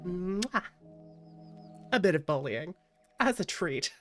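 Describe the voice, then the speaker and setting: A woman livestreamer chatting casually, giggly and expressive, with playful exasperation. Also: fairly low pitch, slow pace, faint music behind. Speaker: livestreamer, VTuber host.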